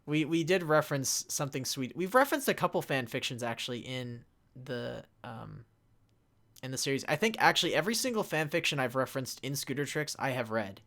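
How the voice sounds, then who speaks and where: A young man chatting casually and off the cuff as a panelist, haltingly reading out chat questions and answering them. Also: mid-range voice, expressive, a bit bright.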